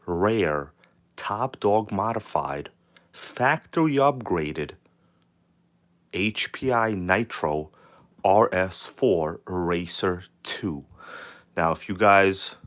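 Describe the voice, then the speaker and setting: Hoarse, hyped man streaming live. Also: low voice, a bit bright. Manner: hyped, casual, greets viewers by name. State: hoarse from a cold, nearly lost voice. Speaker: channel host. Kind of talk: live stream chat about RC cars.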